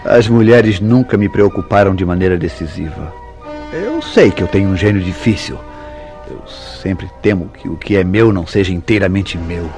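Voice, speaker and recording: A jealous, temperamental Brazilian husband in a radio soap opera. His voice sits low and he questions with formal, theatrical suspicion. Music plays behind him. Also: moderate pace, darkish tone. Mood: contrite.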